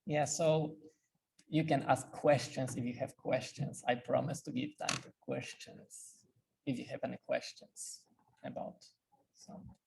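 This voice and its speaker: A man from Poland with a Polish accent, a priest speaking as a guest and answering questions in a hesitant, halting way with many restarts. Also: mid-range voice, fairly even intonation, gravelly, a little mellow, low-quality recording. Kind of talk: casual talk with questions. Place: club meeting room.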